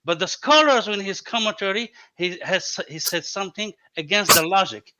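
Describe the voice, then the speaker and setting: A man whose first language is Arabic, debating on a video call, halting and repeating words before each point. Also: sits high, heavy background noise. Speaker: debate guest. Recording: dull lo-fi call audio.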